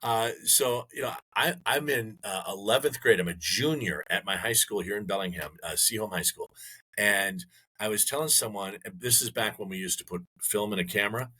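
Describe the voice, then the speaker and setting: A middle-aged man co-hosting a podcast, chatting casually and telling jokey stories with lively retellings. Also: fairly low pitch, moderate pace, husky, poor audio. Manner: joking storyteller, animated retellings. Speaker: podcast co-host. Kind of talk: casual chat.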